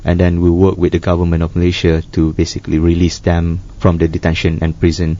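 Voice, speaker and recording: A man speaking as a humanitarian worker guest in a panel discussion, halting and full of restarts. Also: sits low, monotone, mellow. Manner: halting, many restarts and fillers. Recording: dull lo-fi studio sound.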